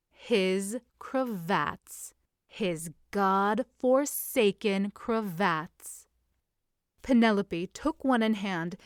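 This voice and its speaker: A woman reading fan fiction aloud as an expressive, scripted story narration. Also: medium pitch, clear, resonant tone, no room sound, studio quality.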